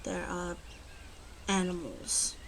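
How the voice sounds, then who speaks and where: Noticeable ambient noise sits behind a female werewolf, acted by a woman in an ASMR roleplay. She speaks slowly and softly with a gravelly creak, often dipping into whispers. Her manner is protective and gruffly bossy. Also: on the low side, fairly even intonation, poor audio. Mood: stern, protective. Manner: slow, murmuring, often drops into whispers. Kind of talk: ASMR roleplay, close and soft-spoken. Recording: clearly audible ambient background noise.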